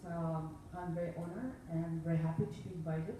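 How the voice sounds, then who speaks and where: Low-voiced woman, earnest parent panelist. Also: fairly even intonation, brisk, dark tone. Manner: earnest, gracious, with fillers. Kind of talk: panel self-introduction. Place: event hall. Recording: distant mic in an echoey hall, noisy.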